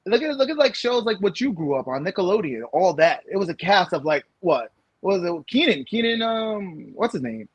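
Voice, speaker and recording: A man in his thirties from the United States, co-hosting a casual podcast chat, animated and rambling with lots of fillers and restarts. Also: sits high, fairly quick, husky, no echo, poor audio. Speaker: podcast co-host.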